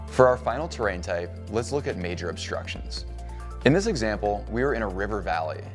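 A man in his thirties giving a measured, scripted explanation indoors in a cabin-style studio. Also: fairly low pitch, sing-song, faint music behind. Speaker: co-founder explaining radio range. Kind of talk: scripted educational narration.

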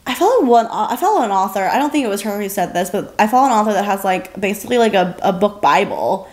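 Young woman, mid-range pitch, casual podcast co-host, conversational, loose phrasing. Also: sing-song, fast talker. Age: young adult. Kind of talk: casual chat. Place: living room, on a sofa.

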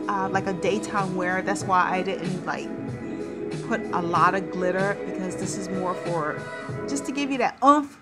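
A woman in her thirties giving a casual, chatty, informal makeup tutorial as a beauty vlogger. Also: mid-range voice. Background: radio music playing in the background.

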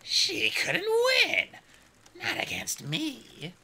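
A man's voice: extremely high pitch, wildly swooping pitch, takes it slowly, gravelly, bright, forceful tone, voice pushed up, full character voice. A man reading aloud and voicing a cruel, scheming female dragon queen who snarls, hisses and mocks. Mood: menacing. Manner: hissing, snarling, mocking.

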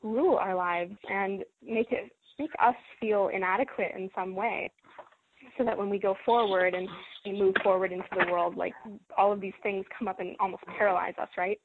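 A woman hosting a self-help conference call, talking in a gentle, encouraging, measured way. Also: medium pitch, fairly even intonation, noisy background. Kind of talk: coaching talk. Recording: phone line, dry and lo-fi.

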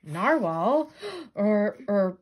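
A woman's voice: medium pitch, very animated, extremely slow, darkish tone, slight background noise. A woman voicing an anxious, exasperated jellyfish, fretful and incredulous, in a picture-book read-aloud. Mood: alarmed, worried.

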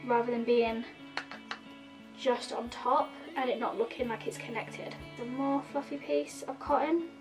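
Woman, 30s, high bright voice, nasal sore throat, chatty explainer, distant mic with faint music. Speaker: makeup vlogger. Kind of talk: casual tutorial talk to camera. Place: indoor room in front of a red plaid backdrop.

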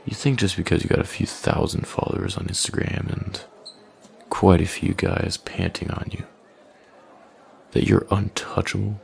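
A man playing a smug, menacing young male bully in an intimate audio roleplay, taunting with slow, deliberate threats in a deep, gravelly voice. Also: flat delivery, darkish tone, some chatter behind, a little hiss or hum. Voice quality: deep, gravelly, breathy at line ends.

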